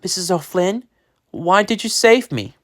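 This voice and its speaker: A reader voicing an earnest young schoolboy in a homemade spoken story, pitched well above their own voice. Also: lively intonation, measured pace, fairly bright tone. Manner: earnest read-aloud delivery. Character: excited young boy, proud and loyal.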